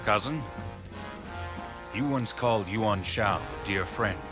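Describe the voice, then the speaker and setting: An actor playing a blunt, loyal and confident warrior in a video game cutscene, with a gruff manner. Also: male voice, lowish voice, slow pace, over music. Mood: stoic, probing.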